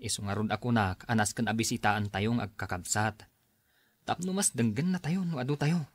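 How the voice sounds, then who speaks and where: A man's voice: fairly low pitch, moderate pace, dry recording, natural voice. A man playing an earnest, respectful and worried grown son in a radio drama.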